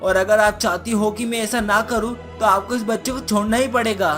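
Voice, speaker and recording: A woman's voice: medium pitch, fairly even intonation, brisk, a bit bright, lightly acted. A woman voicing a kind-hearted young ghost boy who pleads earnestly in a cartoon story. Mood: determined. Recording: music behind the voice.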